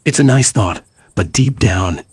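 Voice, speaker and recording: Very low husky male narrator. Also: expressive, a little slow, darkish tone, no room sound. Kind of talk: scripted second-person story narration.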